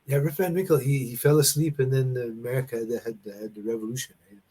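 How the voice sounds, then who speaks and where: Canadian man, husky, loose rambling talker for a casual online language chat. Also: medium pitch, fast talker. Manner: rambling, restarts and laughs, animated storytelling. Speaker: conversation partner. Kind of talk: casual conversation practice. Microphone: video call.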